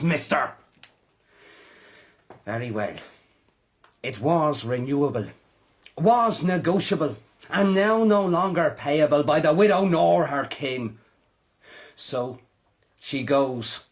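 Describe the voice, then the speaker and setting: A man's voice: a little high, animated, slow pace, pauses often. An actor playing a bullying, greedy Irish estate agent in a period radio drama, sneering and domineering as he speaks. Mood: scornful, dismissive.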